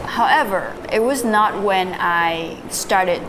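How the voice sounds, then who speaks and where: A young woman from Vietnam, a native Vietnamese speaker, telling her story as a podcast guest in a relaxed way with fillers and soft laughs. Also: mid-range voice, slow. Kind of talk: casual interview, recounting her story. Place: live-streamed online call. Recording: audible background noise.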